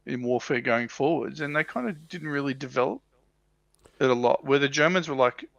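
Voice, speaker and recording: A man's voice: medium pitch, a little fast, gravelly, a bit bright, no room sound. A man chatting casually about his hobby as a panel member on a livestream.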